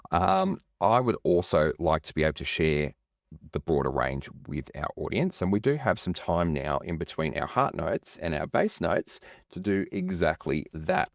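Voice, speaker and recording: Aussie guy hosting a podcast, super deep and gravelly, keen and chatty. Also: dry recording, clean studio sound. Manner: enthusiastic, rambling, many fillers. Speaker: podcast host. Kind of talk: casual interview chat.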